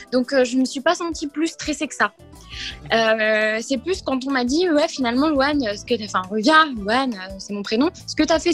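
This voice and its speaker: A woman's voice: sits high, fairly bright tone. A young woman from Limoges, a singer answering radio interview questions, hesitant with many fillers and little laughs. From France, Limoges. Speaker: singer guest.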